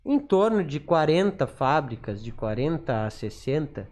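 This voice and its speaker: A man's voice: fairly high pitch, sing-song, darkish tone. A man with a southern Brazilian accent from Rio Grande do Sul, explaining informally as a podcast guest and often repeating words as he searches his memory. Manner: halting, repeats words while recalling.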